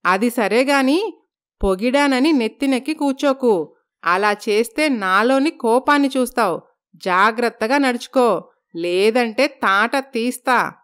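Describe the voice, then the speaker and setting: A woman voicing a stern, commanding middle-aged mother-in-law who admonishes with both affection and threat in an animated story. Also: fairly high pitch, a little slow. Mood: stern warning.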